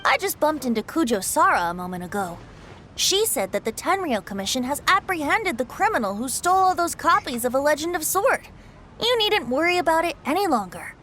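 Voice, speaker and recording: A woman's voice: sits high, sing-song, a little fast, fairly bright tone, slight background noise. A woman voicing a playful, carefree young male bard who teases and laughs easily. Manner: teasing, breezy, laughs easily.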